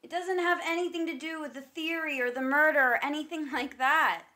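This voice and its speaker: A woman's voice: high voice, steady tone, brisk, sharp tone. An actress playing a guarded, evasive woman with a painful past in a stage drama reading. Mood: defensive.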